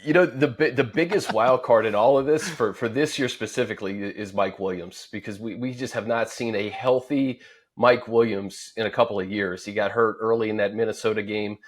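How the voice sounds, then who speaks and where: A man's voice: on the low side, fairly quick. A man hosting a sports talk discussion, setting up topics and posing questions to guests. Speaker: sports show host.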